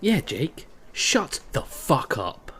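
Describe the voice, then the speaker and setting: An actor playing a burly, hostile male guard in an audio drama, gruff and taunting. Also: a little high, huge pitch swings, slow, a bit bright, exaggerated voice. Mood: mocking, menacing.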